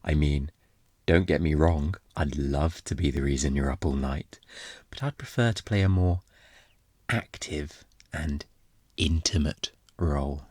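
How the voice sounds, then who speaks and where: A man performs a smug, unrepentant thief who flirts shamelessly in a low, deep voice. The delivery is playful and theatrical, stretching words out to tease, in the intimate style of an ASMR roleplay. The sound is dry and close, with no room around it. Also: darkish tone, dry recording, exaggerated voice. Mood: smug, flirty. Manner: playful, theatrical, drawn-out teasing with breathy murmurs.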